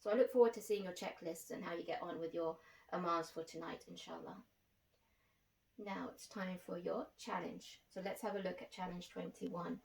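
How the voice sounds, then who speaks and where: A woman's voice: fairly low pitch, steady tone, normal speed, gravelly, slight background noise. A woman hosting a religious lesson for children, explaining things simply, step by step. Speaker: host and teacher of a children's religious programme. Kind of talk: instructional talk addressed to children. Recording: roomy echo, distant microphone.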